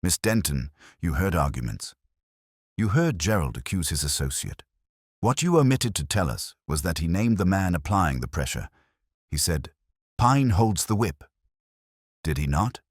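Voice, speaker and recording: Man reading as a very deep, commanding detective. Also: sing-song, slightly rough, no echo, clean studio sound, heavily acted. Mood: accusing, forceful. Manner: deliberate, declarative, authoritative. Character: commanding, shrewd male detective genius.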